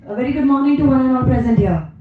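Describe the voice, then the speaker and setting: An Indian woman from Mumbai giving an energetic conference talk to physiotherapists, her voice partly lost, often pausing with "uh.". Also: high-pitched, a little hiss or hum. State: losing her voice. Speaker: guest speaker at a physiotherapy event. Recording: echoey hall, distant dull mic.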